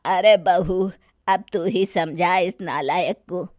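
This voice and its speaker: A woman voicing an exasperated elderly father who scolds his grown son in a gruff manner, for a story. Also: on the low side, slow pace, a little mellow, no echo, studio quality, pitched below the performer's own voice.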